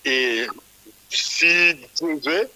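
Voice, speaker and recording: A man's voice: a little high, drawn-out words, bright, forceful tone, rough recording. A man from Kinshasa, a musician guest in a radio interview, who often stumbles and repeats small words as he talks. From Kinshasa, DR Congo.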